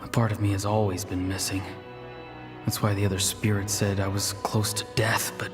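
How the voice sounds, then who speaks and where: A man's voice: on the low side, flat delivery, moderate pace, natural voice. Guy doing sad earnest young apprentice in a game, hesitant, reverby, music under it. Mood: brooding. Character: earnest, melancholy, self-doubting young male apprentice. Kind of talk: video game voice acting. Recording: long reverb, music behind.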